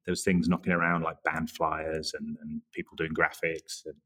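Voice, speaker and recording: English guy, 40s, pretty deep voice, rambly relaxed podcast guest. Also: moderate pace. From England, Norwich area. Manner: relaxed, rambling, many restarts and self-corrections. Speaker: guest, creative director. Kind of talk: casual interview chat.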